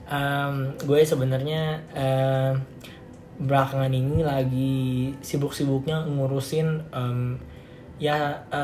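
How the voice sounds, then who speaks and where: Hoarse teenage boy rambling casually. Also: medium pitch, darkish tone. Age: teens. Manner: rambling, many fillers and restarts, laughs often. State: slightly hoarse. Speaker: podcast host. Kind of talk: casual solo podcast chat. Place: home room with music playing. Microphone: home recording setup. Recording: echoey home room, faint noise.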